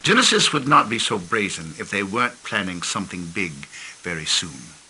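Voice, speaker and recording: An actor playing a grave male spymaster who heads a private intelligence group and speaks in a measured, worried way. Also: lowish voice, sing-song, sharp tone, a little hiss or hum, exaggerated voice, poor audio. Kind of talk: scripted game cinematic.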